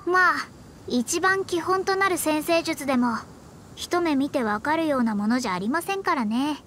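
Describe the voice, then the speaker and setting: High-pitched, proud young astrologer witch. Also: lively intonation, normal speed, fairly bright tone, a little hiss or hum. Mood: instructive. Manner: self-assured, boastful, formal polite speech. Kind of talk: anime game character dialogue. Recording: background music under clean voice.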